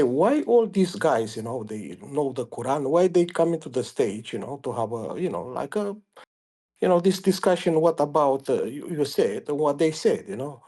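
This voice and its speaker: A man with a Romanian accent calls in to a live stream and feels his way through his questions, stopping and restarting often. His voice sits in a middle pitch with a soft, mellow tone. He comes through an online call. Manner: halting, many ums and restarts. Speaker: caller.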